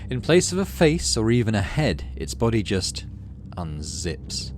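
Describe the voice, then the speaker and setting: Low-voiced man reading a game story aloud. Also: a little hiss or hum. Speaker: gaming channel host. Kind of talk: dramatic read-aloud narration of a visual novel; casual let's play commentary between readings. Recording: game music behind the voice.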